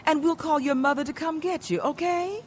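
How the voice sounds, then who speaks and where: An actress playing a kind, concerned stranger offering help in a radio drama. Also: female voice, high voice, normal speed, close to an everyday voice. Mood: concerned, helpful. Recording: noisy street ambience behind.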